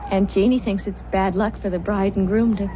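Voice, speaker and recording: An actress playing an anxious, dreamy young bride-to-be in a radio drama, with an earnest manner. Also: female voice, mid-range voice, fairly even intonation, normal speed, dark tone, lightly acted. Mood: lightly earnest. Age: young adult. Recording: dull, noisy old radio broadcast.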